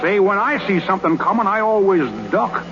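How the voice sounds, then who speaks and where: Bashful, high-voiced stammering young ballplayer. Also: male voice, fairly quick. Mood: awkward, lovesick. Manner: stammering, folksy ungrammatical speech. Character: bashful, tongue-tied young ballplayer in love, always making excuses. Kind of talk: 1930s radio comedy acting. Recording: noisy, muffled 1930s radio broadcast.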